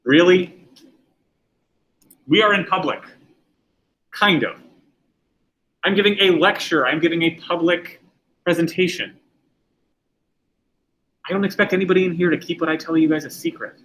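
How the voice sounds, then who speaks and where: A man's voice: on the high side, moderate pace, long pauses, fairly bright tone, poor audio. A middle-aged man teaching a class, lecturing in a conversational way and putting questions to the students.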